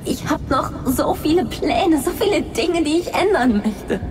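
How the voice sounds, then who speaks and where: A woman's voice: high-pitched, expressive, unhurried, background noise. An actress voicing a young woman fighting to keep her life in a sci-fi audio drama. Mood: pleading.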